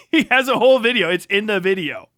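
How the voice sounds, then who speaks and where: A man's voice: high voice, animated, a little fast, a bit bright, no echo. A man live streaming profane political commentary, mocking and sarcastic, swearing heavily in a combative way. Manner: heavy swearing. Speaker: live streamer reacting to a video.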